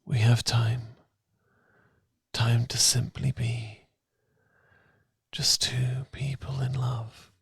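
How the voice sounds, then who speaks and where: A British man performing soothing, intimate ASMR as a loving boyfriend, speaking softly and gently, at times near a whisper. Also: mid-range voice, no room sound, clean studio sound. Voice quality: soft, breathy, gravelly, partly whispered. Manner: gentle, calming, intimate, unhurried. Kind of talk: romantic ASMR, soothing guided meditation. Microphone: close, dry ASMR miking.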